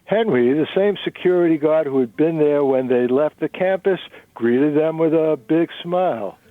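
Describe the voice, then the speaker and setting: A man's voice: fairly high pitch, normal speed, dry recording, faint music behind. Man narrating over narrow-band radio. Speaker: narrator and reader. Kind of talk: dramatic reading of a novel.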